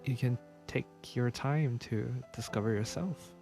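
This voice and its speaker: A man's voice: mid-range voice, gravelly. Earnest man streaming a game. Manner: earnest, sympathetic, halting repeats. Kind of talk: casual game playthrough commentary. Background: game music.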